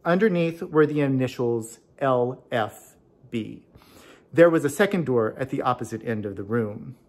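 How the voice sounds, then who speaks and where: A man's voice: medium pitch, lively intonation, moderate pace. Guy doing a storybook read-aloud, introducing it and narrating. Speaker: club officer introducing and reading a story.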